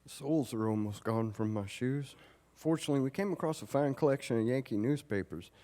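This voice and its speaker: A man's voice: mid-range voice, a little fast, mellow, lightly acted. An actor playing a homesick, plain-spoken Civil War soldier, earnestly reading out his letter home on stage. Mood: resourceful. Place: live stage performance in a recreation hall. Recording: reverberant live hall.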